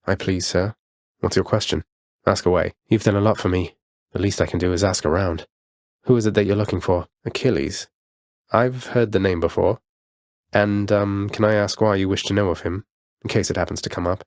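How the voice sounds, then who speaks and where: Young underworld prince, video game hero, low voice, polite, wry, determined, dry studio sound. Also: male voice, dry recording. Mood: gentle, earnest. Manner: courteous, dry wit, often says sir. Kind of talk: scripted video game voice lines.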